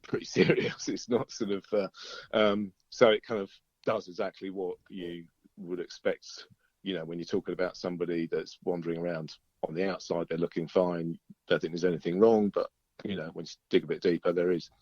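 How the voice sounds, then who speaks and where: Low-voiced British man, dull lo-fi sound. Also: fairly even intonation, fairly quick, darkish tone, no echo. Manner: chatty, rambling storyteller, often chuckling. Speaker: musician being interviewed. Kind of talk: casual interview chat.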